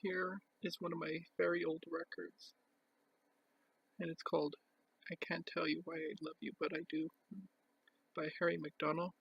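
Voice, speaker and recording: A man giving a casual show-and-tell as a collector, speaking in a halting, piecemeal way with pauses. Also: fairly high pitch, monotone, creaky, husky, lo-fi sound. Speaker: record collector presenting his collection.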